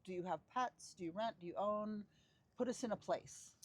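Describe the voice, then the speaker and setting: A woman's voice: medium pitch, normal speed. A woman interviewing a neighbor, asking short questions and giving brief, encouraging acknowledgments.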